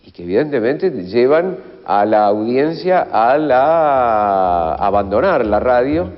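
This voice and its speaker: A man's voice: mid-range voice, lively intonation, slow. A man giving emphatic commentary, drawing out his words. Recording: dull sound with long reverberation.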